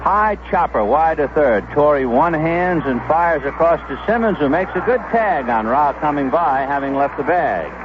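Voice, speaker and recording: A man's voice: fairly high pitch, expressive, moderate pace, few pauses, dull audio, noisy background. A man giving live play-by-play baseball commentary as a radio announcer on a 1970s broadcast.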